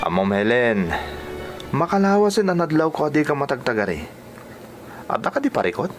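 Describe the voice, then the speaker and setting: Lalaki nga agak-akting naannad, mangliwliwa a lalaki. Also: male voice, mid-range voice, very animated, normal speed, over music, natural voice. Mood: concerned, soothing. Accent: Ilocano. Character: calm, concerned man reassuring a worried woman. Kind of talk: Ilocano radio drama acting.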